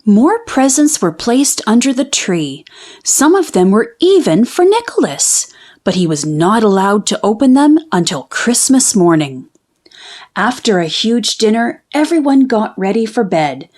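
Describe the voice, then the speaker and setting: Female storybook narrator, higher-pitched and very animated, for children's read-aloud. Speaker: children's book reader.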